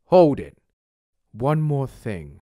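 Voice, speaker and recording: A man's voice: mid-range voice, very animated, slow pace, warm tone, no echo, clean studio sound. A man voicing a cocky, scheming young werewolf man in an amateur roleplay drama. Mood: plotting.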